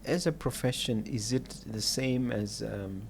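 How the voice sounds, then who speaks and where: Halting male podcast host asking questions. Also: mid-range voice, vocal fry, slight background noise. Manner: halting questions with restarts, frequent murmured agreement. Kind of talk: casual interview.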